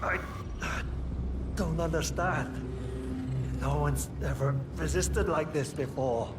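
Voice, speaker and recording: Slow, intense, obsessive male scientist. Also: fairly high pitch. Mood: frustrated. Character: obsessive mind-control scientist, Hush, straining and power-drunk. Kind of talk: dramatic video game acting. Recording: very loud music over the voice.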